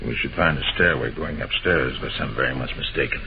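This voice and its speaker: An actor playing a decisive, analytical detective in an old-time radio drama, with a commanding, deliberate delivery. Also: male voice, very deep voice, creaky. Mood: cautious, low. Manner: commanding, deliberate, clipped instructions. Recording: noisy, muffled vintage radio recording.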